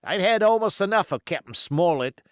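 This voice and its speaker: A man voicing a gruff, impatient pirate coxswain in an audiobook, complaining emphatically in seafaring dialect. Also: high-pitched, lively intonation, moderate pace, no echo, clean studio sound, pitched above the performer's own voice. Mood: impatient, greedy. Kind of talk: audiobook character voice.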